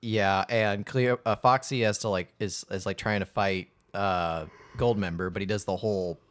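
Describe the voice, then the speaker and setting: A man's voice: steady tone, normal speed, a bit bright. A man co-hosting a casual podcast, retelling film scenes and quoting characters' lines. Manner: narrates scenes, quotes lines in high voices. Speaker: podcast co-host. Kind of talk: casual chat recapping a film.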